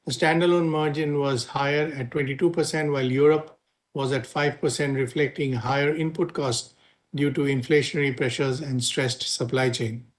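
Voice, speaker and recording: Indian guy exec reading out company results, formal and measured, mid pitch. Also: steady tone. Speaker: company finance executive. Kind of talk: prepared earnings call remarks.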